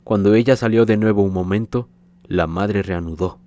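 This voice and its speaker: A man narrating a literary story in a measured, scripted audiobook reading. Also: lowish voice, mellow, dry recording.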